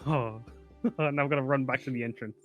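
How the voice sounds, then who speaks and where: A man bantering casually as a player in a tabletop game, excitable and goofy. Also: medium pitch, fairly quick, lo-fi sound, soft background music.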